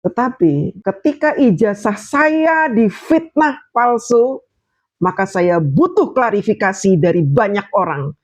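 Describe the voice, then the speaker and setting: A man's voice: high voice, animated, takes it slowly, no echo. A man giving scornful political commentary over video clips, mocking his opponents.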